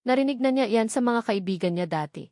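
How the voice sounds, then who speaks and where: A woman with a Filipino accent narrating a scripted dramatic story as an audiobook, in a deliberate, emphatic way with short dramatic phrases. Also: fairly high pitch, expressive, mellow, no echo, clean studio sound.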